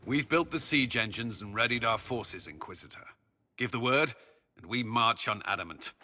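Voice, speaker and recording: An actor playing a steady, dutiful army commander in a video game, giving clipped, serious military briefings. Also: male voice, medium pitch, normal speed, soft background music. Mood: resolute. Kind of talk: dramatic video game acting.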